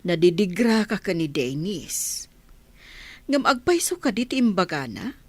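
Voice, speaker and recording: A woman's voice: lowish voice, big pitch swings, a little slow, a little mellow, husky, no echo, heavily acted. A woman with an Ilocano accent playing a prying, concerned village mother in a radio comedy drama. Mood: prying, teasing. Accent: Ilocano Filipino.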